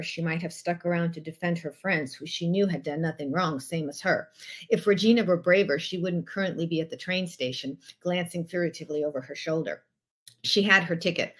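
A woman born in the former Soviet Union reads her own novel aloud from a small room at home. Her voice sits a little low for a woman, and she keeps a measured, careful pace, now and then stopping to correct a word. The sound comes through a laptop microphone on a video call. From Odessa, then USSR. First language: Russian. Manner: measured reading with occasional self-corrections. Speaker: novelist reading her book. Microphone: laptop webcam mic on video call.

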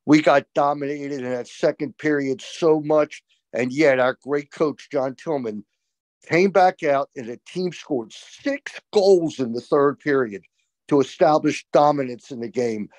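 An older man talks about a game with emphatic conviction and animated excitement. His voice sits a little high and sounds dry and close. He is recorded through a webcam on a video call from a small room. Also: a little slow, no echo. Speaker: co-host, college lacrosse analyst. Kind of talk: excited postgame sports talk.

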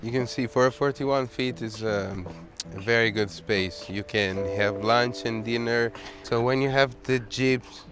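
Italian-accented man giving a boat tour. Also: mid-range voice. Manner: halting, searching for English words. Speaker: sailboat owner. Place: outdoors on a sailboat deck and cabin. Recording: background music.

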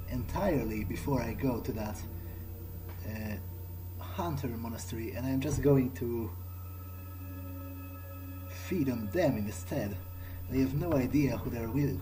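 A man narrating a gameplay video in character, thinking aloud in a halting way. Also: medium pitch, dark tone, rough recording. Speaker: gaming video host. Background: game music.

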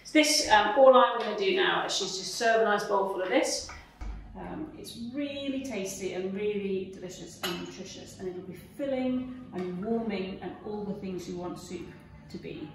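British woman, informal instructional cooking talk, mid-range pitch, strong room echo, faint background noise. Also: normal speed. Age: middle-aged. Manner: practical, chatty, instructive. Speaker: cookery demonstrator. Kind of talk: informal cooking demonstration, explaining steps. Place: medium-sized domestic-style kitchen, indoors. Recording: echoey kitchen, distant camera mic, background noise.

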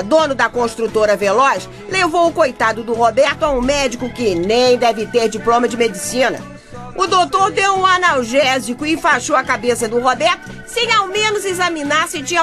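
Radio soap narrator: gossipy, indignant Brazilian wife, high voice, outspoken and chatty, music underneath. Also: female voice, animated, normal speed, a bit bright. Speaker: actress narrating a radio drama. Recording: music playing behind the voice.

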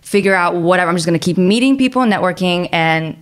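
A woman's voice: on the low side, fast. A woman in her thirties from Bulgaria, a filmmaker guest chatting casually in an interview and laughing easily.

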